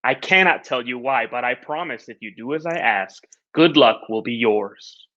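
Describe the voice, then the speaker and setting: A man voicing a kind, gentle fairy of the forest in a storybook read-aloud, speaking in formal, pleading phrases. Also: mid-range voice, lively intonation, normal speed. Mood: promising. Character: kind fairy in an old oak tree, granting wishes. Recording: dull lo-fi recording.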